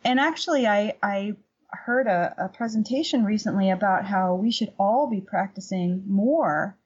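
A middle-aged woman chatting casually as an interview guest and painting teacher, telling anecdotes in an animated, emphatic way. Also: mid-range voice, moderate pace, husky, dull audio.

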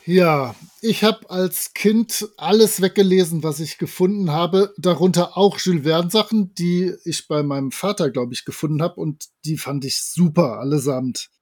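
A man's voice: a little high, normal speed, hardly any pauses, darkish tone. A man in a casual podcast chat as a guest, speaking in a playful, jokey way.

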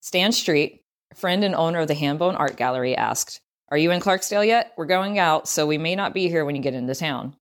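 Low-voiced Southern woman reading an essay. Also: a little fast. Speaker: writer, tour guide and historian reading own essay. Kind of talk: scripted narration of a travel essay.

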